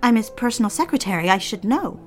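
A woman's voice: on the high side, expressive, fast, faint music behind, lightly acted. A young woman acting a polite, restless secretary and daughter in a period audio drama. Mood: earnest, uneasy. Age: young adult.

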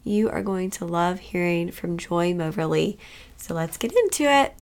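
A woman hosts a podcast while fighting a cold, her voice rough with creak. She stays upbeat and chatty, with frequent little fillers as she announces what comes next. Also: on the low side, expressive, moderate pace, a little mellow. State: has a cold, voice strained. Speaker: podcast host.

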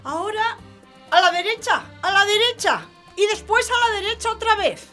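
A woman's voice: very high voice, lively intonation, normal speed, sharp tone, faint music behind, exaggerated voice. An actress playing a stout, stingy lady taxi passenger in a radio play, imperious and brusque. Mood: commanding. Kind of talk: radio drama acting.

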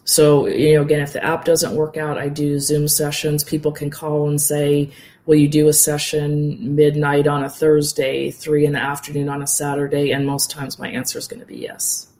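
A woman who teaches yoga nidra, speaking as an interview guest in a calm, soothing and encouraging way. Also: low-pitched, normal speed, a little hiss or hum. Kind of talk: casual interview talk.

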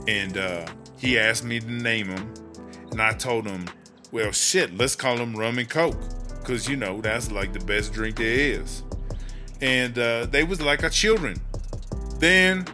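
A man's voice: medium pitch, close to an everyday voice. Man playing streetwise tipsy woman convict over music. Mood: wistful, reminiscing. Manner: slangy, streetwise, drawling storyteller. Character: Chartreuse, tipsy streetwise woman prisoner telling old stories. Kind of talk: audio drama monologue.

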